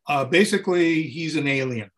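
Gabby man with a higher-pitched voice. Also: normal speed, a crowd in the background. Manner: gabby, rambling, animated storyteller. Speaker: interview guest, touring keyboard player. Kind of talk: casual anecdotal interview chat.

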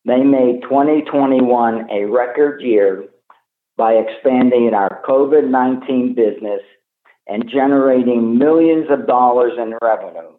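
Man on an echoey, tinny phone line. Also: mid-range voice. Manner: formal, reading from prepared remarks. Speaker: company executive. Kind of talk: scripted earnings call presentation. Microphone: telephone conference call.

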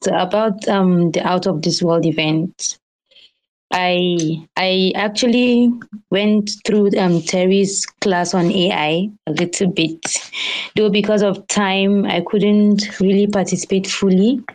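A woman's voice: mid-range voice, steady tone, slow, a little mellow, poor audio. A woman guest artist, not a native English speaker, talking earnestly in a casual online chat. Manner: earnest and grateful, with small laughs. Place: online audio space.

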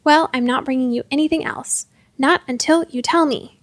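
A woman's voice: sits high, moderate pace, no echo, lightly acted. A woman voicing a defiant, impassioned girl in a storybook, by turns furious and pleading. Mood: demanding.

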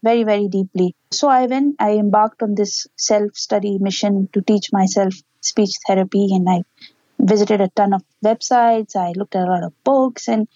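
A woman's voice: medium pitch, fairly even intonation, moderate pace, warm tone, no room sound, lo-fi sound. A woman, a parent giving earnest, explanatory answers in an interview. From Pakistan. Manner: earnest, explanatory, with small laughs. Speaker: interview guest, parent and educator.

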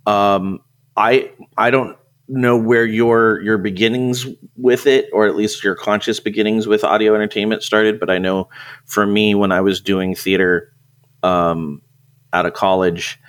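A man co-hosting a podcast in casual conversation, often repeating words and restarting as he finds his phrasing. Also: sits low. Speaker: podcast co-host.

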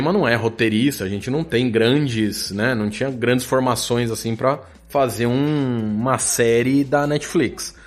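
A man with a Brazilian accent talking casually as a podcast guest, informal, a bit sweary and self-deprecating. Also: medium pitch. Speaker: podcast guest, audio drama producer. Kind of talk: casual chat.

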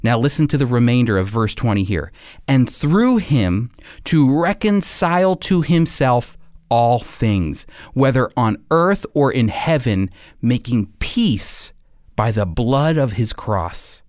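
A man giving a scripted sermon as a preacher, phrasing deliberately and emphatically and putting questions to his listeners. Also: medium pitch, lively intonation, darkish tone, no room sound, clean studio sound.

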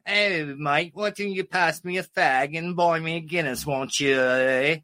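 A man's voice: on the high side, unhurried, sharp tone. A man voicing a cheeky, matey English bloke with an English accent, as a comedy act. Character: cheeky English bloke telling pub jokes.

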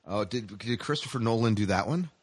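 A man co-hosting a casual podcast chat about science fiction, halting and repeating his phrases as he steers the talk. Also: fairly low pitch, expressive, husky. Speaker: podcast co-host.

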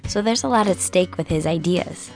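A woman hosting a podcast, narrating scripted segments in a calm, measured way. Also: lowish voice, faint music behind. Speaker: podcast host.

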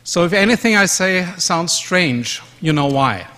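Man, German-accented, from Austria, measured presentation, fillers, long hall reverb. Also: on the high side, animated, pushed and bright. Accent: German-speaking Austrian. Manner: measured, with fillers and self-corrections. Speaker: conference speaker. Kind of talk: conference presentation.

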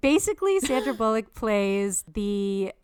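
A woman's voice: fairly high pitch, big pitch swings, unhurried, darkish tone, smooth, no room sound, clean studio sound. A woman co-hosting a podcast, reading a punny scripted intro and then chatting casually and self-mockingly. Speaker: podcast co-host.

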